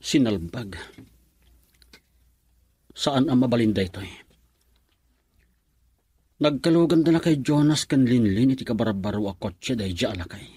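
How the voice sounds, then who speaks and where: A man's voice: mid-range voice, expressive, unhurried, long pauses, mellow, breathy edge, no echo. A man acting a bitter, jealous young suitor in a radio drama monologue, with a Filipino accent.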